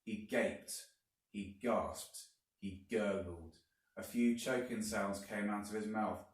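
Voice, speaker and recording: A man reading a children's story aloud like a teacher, deliberately and with dramatic emphasis. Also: on the low side, steady tone. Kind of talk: expressive storybook narration. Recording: very echoey room, distant mic.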